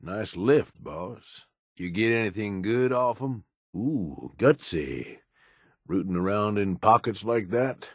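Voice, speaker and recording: A deep, gruff American raider lieutenant for a video game. He is blunt and cynical yet loyal, talking in rough, folksy slang. His delivery moves widely in pitch, and the recording is dry and close. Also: lively intonation, takes it slowly, no room sound, heavily acted. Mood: impressed. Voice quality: low, gruff. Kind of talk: video game companion voice lines.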